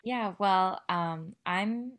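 A woman from Canada, a theatre artist speaking thoughtfully in an interview, pausing on "um". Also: mid-range voice, fairly bright tone. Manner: thoughtful, measured, with "um" pauses. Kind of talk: casual interview talk.

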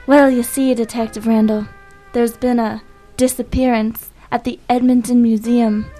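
A woman's voice: fairly high pitch, little pitch movement, normal speed, mellow, faint music behind, lightly acted, low-quality recording. A woman playing a melodramatic female client in an improvised noir radio drama, theatrical and hesitant. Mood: distressed.

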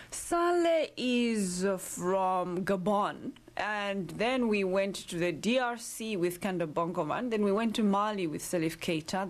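A woman hosting a community radio music show, talking in a relaxed, chatty way with frequent fillers. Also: medium pitch. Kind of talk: casual radio presenting.

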